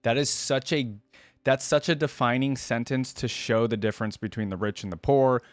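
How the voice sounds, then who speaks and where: A man's voice: medium pitch, fairly even intonation, moderate pace, dry recording, studio quality. A man hosting a reaction video, casually musing aloud and explaining his opinions in a conversational way.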